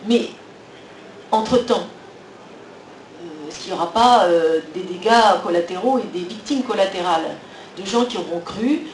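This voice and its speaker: A man thinks out loud on a conference panel, hesitant and full of pauses and fillers. He is far from the mic in an echoey hall with some faint noise behind him. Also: sits high. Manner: hesitant, many fillers, reflective. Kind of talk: panel discussion. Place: conference hall at a trade fair.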